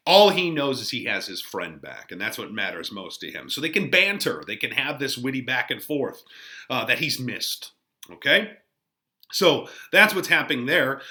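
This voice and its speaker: A man teaching, giving informal, animated, conversational commentary on a play. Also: mid-range voice. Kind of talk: explanatory lesson commentary on a play.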